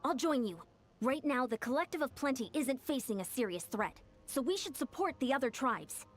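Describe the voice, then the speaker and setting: A woman voicing a brisk, helpful young adventurer in a video game. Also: sits high, animated.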